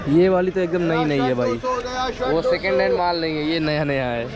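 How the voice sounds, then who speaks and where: A man's voice: on the high side, normal speed, over a noisy crowd. A man walks through an outdoor street market, filming a casual vlog, urging viewers along and asking sellers for prices. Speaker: vlogger touring a street market. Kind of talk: casual walking vlog commentary. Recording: loud crowded street market.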